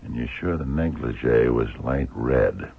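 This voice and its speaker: An actor playing a shrewd, dogged blind investigator in a radio drama, gravelly and husky, probing with hesitant, murmured questions. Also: male voice, a bass voice, a little slow, exaggerated voice. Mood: musing, intent. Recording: thin, phone-like old radio recording.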